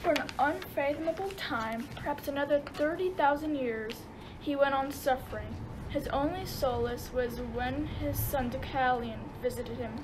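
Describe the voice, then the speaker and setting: A woman's voice: high voice, moderate pace. A woman narrating a radio play in scripted storytelling style. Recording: noisy amateur recording.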